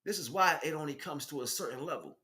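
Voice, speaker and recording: An American man with a fairly high, very animated voice gives an informal spiritual talk. His delivery is emphatic and slangy, circling back on phrases, and the room adds clear echo. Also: fast. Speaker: spiritual teacher and stream host.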